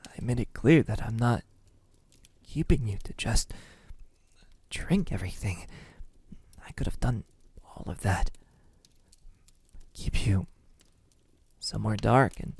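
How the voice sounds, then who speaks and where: A man playing a possessive, lovesick vampire captor in an ASMR roleplay, speaking intimately in a husky voice that often drops into a whisper. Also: lowish voice, darkish tone, dry recording, full character voice. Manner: slow, lingering, halting delivery.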